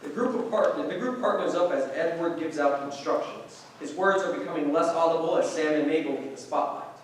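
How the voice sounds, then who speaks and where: A man narrates stage directions from a script. His voice is fairly high and sounds distant, in a very echoey room with faint noise. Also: brisk.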